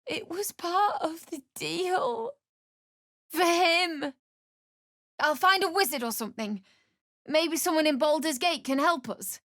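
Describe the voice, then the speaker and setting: An actress voicing a frightened, grieving young wife in a fantasy video game, pleading and tearful, breaking into anguished cries. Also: female voice, very high voice, animated, slow, long pauses, fairly bright tone, dry recording, clean studio sound, exaggerated voice. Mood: strained.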